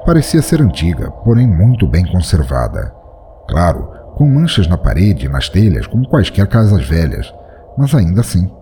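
A man's voice: low-pitched, wildly swooping pitch, moderate pace, dark tone, a little hiss or hum. A man reading a first-person horror story aloud in a dramatic, tense storytelling manner. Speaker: narrator.

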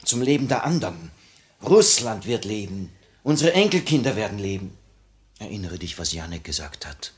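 An actor playing a stern, weary, stoic leader of a revolutionary cell in a 1950s German radio play, measured and grave. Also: male voice, fairly low pitch, very animated. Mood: firm.

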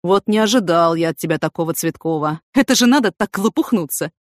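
A woman's voice: on the low side, expressive, a little fast, no room sound, studio quality, natural voice. A woman voicing a jealous, clumsy male police investigator in an audiobook, complaining and reproachful. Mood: exasperated. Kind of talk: audiobook character acting.

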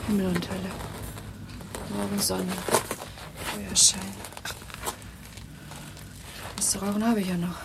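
Heavy noise surrounds a dazed survivor in a radio drama. He mutters slowly to himself in clipped fragments, off the microphone. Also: sits high, flat delivery, creaky, full character voice. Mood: dazed, searching. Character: dazed lone survivor muttering through burning ruins. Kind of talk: radio play interior monologue in fragments. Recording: heavy background noise, off-mic.

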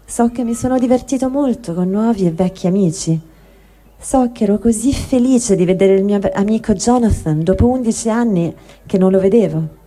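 A woman reads diary entries aloud for the radio. Her delivery is slow, grave and emphatic. Her tone is mellow and dark, at an ordinary pitch for a woman. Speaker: reader. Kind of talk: dramatic reading of diary texts.

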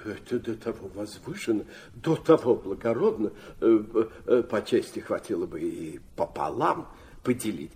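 An actor playing a fussy, genial old aristocrat in a Russian radio play, husky, halting and mumbling, dropping French endearments. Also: male voice, lowish voice, dark tone, a little hiss or hum. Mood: confiding wonder. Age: older.

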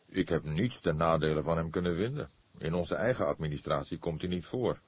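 A man voicing a patient, seasoned senior police detective in an audiobook, speaking in a measured, earnest way. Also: very deep voice, husky, no room sound. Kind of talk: audiobook character dialogue.

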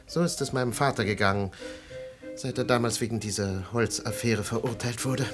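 Man playing a halting, self-pitying melancholic. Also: medium pitch, close to an everyday voice. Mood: grave. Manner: halting, sighing, pathos-laden. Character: self-pitying, melancholy son of a disgraced father. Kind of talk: German radio play acting.

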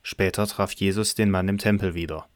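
A man's voice: low voice, steady tone, brisk, no room sound. A man narrating a Bible story as the scripted narrator of an audio drama.

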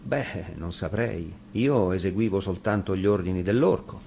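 A man plays an ogre's obedient wolf henchman from a storybook. He speaks low, subdued and unsure of himself, with faint noise behind the recording. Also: moderate pace, creaky, dark tone. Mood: sheepish.

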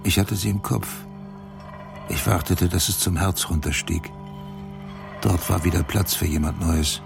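Very deep, creaky brooding narrator. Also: male voice, a little slow, full character voice. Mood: tender, brooding. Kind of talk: literary radio drama narration. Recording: music bed behind voice.

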